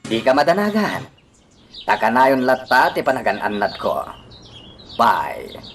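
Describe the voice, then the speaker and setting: A man's voice: mid-range voice, huge pitch swings, measured pace, fairly bright tone, a little hiss or hum, heavily acted. A man acting a determined fighter facing enemies in an Ilocano radio drama.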